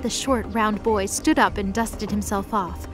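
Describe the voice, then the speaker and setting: A woman's voice: medium pitch, fairly even intonation, a little slow, music in the background. Woman narrating a scripted fantasy story.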